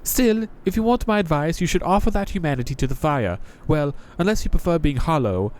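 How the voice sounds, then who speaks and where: A man's voice: a little high, animated, brisk, darkish tone, pitched above the performer's own voice, natural voice. A man voicing a jaded, contemptuous female knight from a visual novel, with a sardonic, taunting manner. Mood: condescending. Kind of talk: visual novel character reading.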